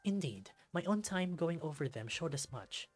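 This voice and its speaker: A man voicing a calm, formal superhero leader with deliberate, measured wording for a visual novel. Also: on the high side, soft background music, pitched above the performer's own voice.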